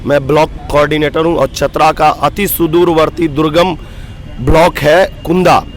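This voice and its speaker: A hoarse man in his thirties, a block coordinator at a roadside protest, speaking earnestly and with rising grievance in a street interview. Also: a little high, steady tone, moderate pace, faint music behind. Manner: aggrieved, earnest, builds to emphatic outbursts. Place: roadside protest sit-in outdoors.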